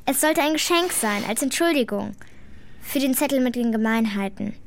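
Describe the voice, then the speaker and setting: A woman's voice: a little high, animated, normal speed. A female performer playing a cheeky, outspoken, talkative schoolgirl in a German radio play. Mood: frank, explaining. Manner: pert, talkative, earnest.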